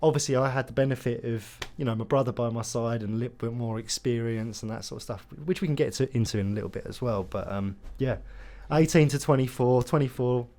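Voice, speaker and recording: A man's voice: medium pitch, fast, few pauses, dark tone. A man, a company co-founder talking through his story in a casual podcast chat. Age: 30s. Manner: reflective, talks in long run-on stretches with "you know what".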